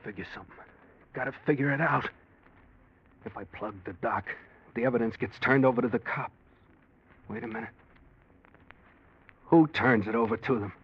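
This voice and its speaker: An actor playing a tough, wary crook with terse, slangy talk in 1940s radio crime style. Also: male voice, medium pitch, normal speed, long pauses, natural voice. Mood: brooding. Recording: noisy, muffled old radio recording.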